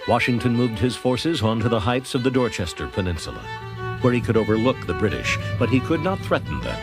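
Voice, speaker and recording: A man's voice: medium pitch, sing-song, a little fast, music in the background. An American man narrating a scripted history documentary.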